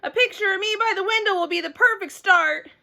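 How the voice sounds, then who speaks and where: A woman voicing an excitable, bossy, chatty little girl from a storybook, loud, eager and emphatic. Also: very high voice, brisk, vocal fry, pitched above the performer's own voice, full character voice. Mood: excited.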